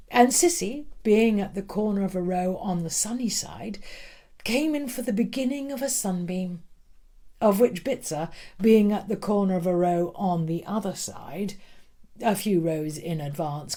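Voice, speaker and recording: A woman narrating a classic novel as a dramatic audiobook, with measured, expressive storytelling. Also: lowish voice.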